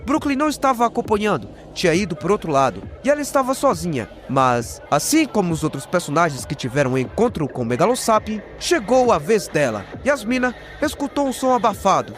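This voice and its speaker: A man's voice: high-pitched, sing-song, brisk, few pauses. A man with a Brazilian accent narrating a horror recap dramatically and expressively, with slangy jokey asides. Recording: voice over prominent background music. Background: music.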